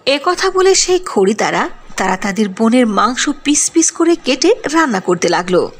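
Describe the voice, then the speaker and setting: A woman's voice: a little high, very animated, moderate pace, husky. A woman narrating a cartoon fairy tale in a sing-song storytelling manner.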